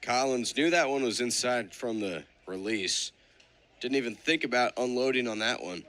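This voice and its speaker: A man giving measured, analytical color commentary on a live radio baseball broadcast. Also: medium pitch, a bit bright.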